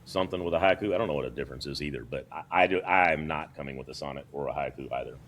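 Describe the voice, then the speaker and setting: A man's voice: very deep voice, rapid-fire, slight background noise. A man hosting a podcast, joking around in a casual, folksy way from a studio. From Kentucky. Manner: jokey, animated, folksy. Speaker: podcast host. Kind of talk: casual chat.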